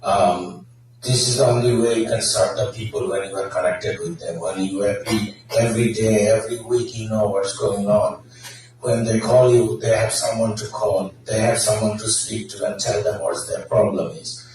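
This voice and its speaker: A man running for city council speaks at a public forum, pausing often with fillers as he answers. His slightly low voice comes from a distant mic and rings around a big echoey hall, with faint noise underneath. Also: flat delivery, a little mellow. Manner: hesitant, many fillers.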